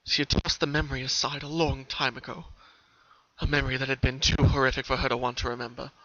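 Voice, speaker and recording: A man's voice: medium pitch, flat delivery, quick pace, background noise. A man giving a dramatic scripted narration as a fan fiction reader. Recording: dull, muffled home recording.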